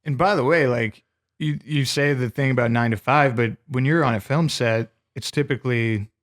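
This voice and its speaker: A man's voice: mid-range voice, normal speed. A man co-hosting a podcast, chatting in an easygoing, agreeable way. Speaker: podcast co-host. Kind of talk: casual chat.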